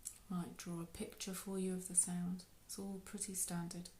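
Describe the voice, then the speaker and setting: A woman leading a guided meditation in a soft, unhurried, soothing way, with phrases trailing off into breath. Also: fairly low pitch, little pitch movement, slight background noise. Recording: roomy, echoey sound.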